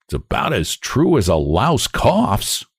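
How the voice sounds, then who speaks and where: A man's voice: on the low side, huge pitch swings, slow, vocal fry, slightly rough, dry recording, studio quality, full character voice. A man voicing a scornful, quarrelsome tall young man.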